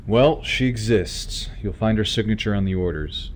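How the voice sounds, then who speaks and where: A man's voice: lowish voice, normal speed, a little hiss or hum, close to an everyday voice. A man playing a curt, defiant starship captain in an audio drama, clipped and insistent.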